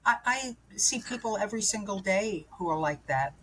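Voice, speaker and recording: A woman's voice: medium pitch, expressive, moderate pace, slight background noise, rough recording. A woman in her seventies, from New York, telling her own story as an interview guest in a candid, rambling way with lots of fillers and restarts. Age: 70s.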